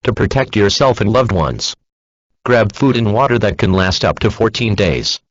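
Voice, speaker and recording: A synthetic male voice delivers formal emergency alert instructions as a scripted broadcast. It is husky, with strongly varied pitch. The audio is dull and lo-fi, with very little room sound. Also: animated, moderate pace, no echo.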